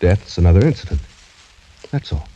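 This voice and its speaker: An actor playing a proud, doomed young French gentleman in formal, courtly, brooding old-time radio drama style. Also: deep voice, big pitch swings, moderate pace. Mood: proud, resigned. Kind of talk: 1940s-50s American radio drama acting. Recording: dull, muffled old radio broadcast recording.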